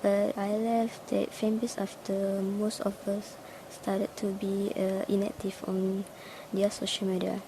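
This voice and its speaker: A woman's voice: mid-range voice, monotone, unhurried, hardly any pauses, background noise. A woman in her twenties from Malaysia, answering interview questions as a fan base head admin, hesitant with fillers and restarts. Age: 20s.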